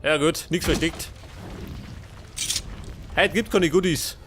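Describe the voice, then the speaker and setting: Higher-voiced Bavarian man commenting on gameplay. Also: slow pace, noisy background. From Bavaria, Germany. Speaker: let's play host. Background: game music.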